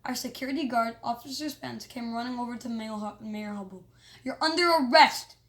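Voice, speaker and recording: A high-pitched reader reading a children's story aloud in an animated but halting way, with small stumbles.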